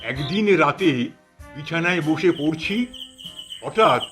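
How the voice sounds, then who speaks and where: A man playing a calm, reassuring village priest who explains and tells stories in a cartoon. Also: fairly high pitch, slow pace, over music. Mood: calm storytelling. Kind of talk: cartoon storytelling.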